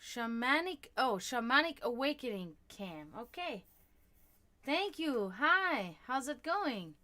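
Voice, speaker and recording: A woman born and raised in Germany, with German as her first language, chatting warmly as she reads tarot cards for viewers on a live stream. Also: high-pitched, slow. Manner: chatty, animated, laughs often, talks to chat.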